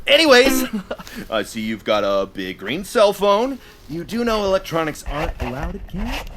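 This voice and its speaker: A man's voice: high-pitched, very animated, moderate pace, a bit bright, a little hiss or hum. An actor playing a friendly, goofy young male summer camp counselor, laid-back and slangy, in an audio drama. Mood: goofy, chummy. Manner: slangy, easygoing, hesitant with ums.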